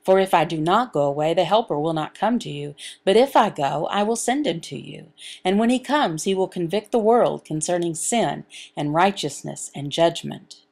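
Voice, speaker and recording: A woman reading a sermon and scripture aloud as a voice interpreter, in measured, halting short phrases. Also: lowish voice, expressive, gravelly, a little mellow.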